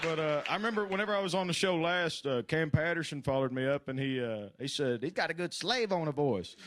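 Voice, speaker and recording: A man with a Southern US accent, chatting on a comedy stage in a folksy, self-deprecating, unhurried way. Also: a little high, animated, fairly bright tone. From Kentucky, USA. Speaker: amateur comic being interviewed on stage. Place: live comedy show stage.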